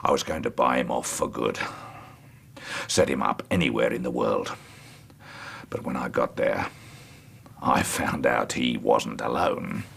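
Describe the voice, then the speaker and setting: A dying old landowner in a radio play, bitter and unrepentant. His voice is extremely deep, cracked with gravel and husky, and he speaks with slow, heavy emotion. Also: fairly bright tone, exaggerated voice. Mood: weary, bitter. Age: older. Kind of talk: radio drama acting.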